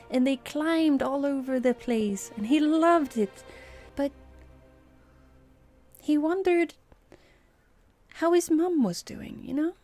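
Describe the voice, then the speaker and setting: Brief: woman narrating a bedtime story, slow, soft and hushed, faint music under. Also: sits high. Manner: soft, hushed, often whispering. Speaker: streamer telling a story.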